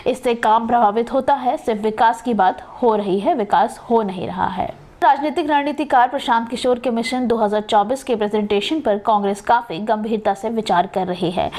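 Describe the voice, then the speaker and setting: A woman's voice: a little high, darkish tone. A woman news presenter reading a scripted news bulletin.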